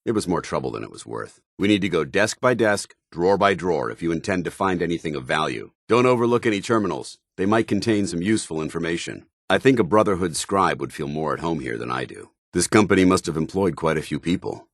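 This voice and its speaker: An actor playing a stern, disciplined armored soldier in a video game, speaking in formal, clipped military phrases. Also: male voice, deep voice, lively intonation, fast, few pauses, no echo, studio quality. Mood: commanding. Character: stern, dutiful armored soldier paladin, grown man, devout and disciplined. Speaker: companion character. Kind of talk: scripted video game dialogue.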